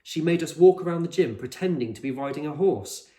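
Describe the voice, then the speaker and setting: Guy doing a snooty prickly kid, a girl, dry and brisk, storybook read, echoey. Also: on the high side, lively intonation, warm tone, pitched above the performer's own voice, close to an everyday voice. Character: haughty, prickly, sharp-tongued young girl. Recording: echoey room.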